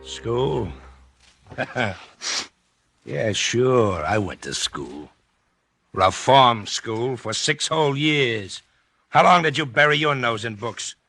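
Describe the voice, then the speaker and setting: An actor in a 1940s radio drama playing a brash, uneducated escaped convict and remorseless killer who talks in rough, cocky slang. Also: male voice, medium pitch, lively intonation, slow, pauses often, bright, forceful tone, dry recording, soft background music, exaggerated voice. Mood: cocky, callous.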